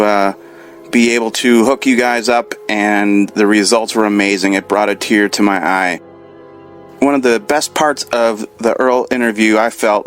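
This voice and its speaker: A man leaving an earnest spoken message and reading aloud a story he wrote down. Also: mid-range voice. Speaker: caller.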